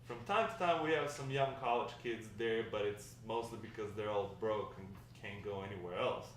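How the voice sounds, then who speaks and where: A man's voice: lowish voice, fairly bright tone, natural voice. Echoey, distant man reading as a chatty nostalgic grandfather. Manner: conversational, rambling storytelling with hesitant pauses. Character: nostalgic, talkative Mexican-American grandfather, warm and encouraging. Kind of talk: amateur table read of a script. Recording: echoey room, distant mic, faint noise.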